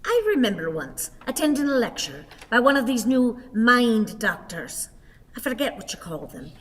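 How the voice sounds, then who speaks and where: Radio drama: plaintive elderly Irishwoman, theatrical and wordy, raised pitch, reverberant hall sound. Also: very animated, moderate pace. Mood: earnest, wistful. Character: frail, plaintive, melodramatic old Irish wife. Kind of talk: theatrical radio play acting.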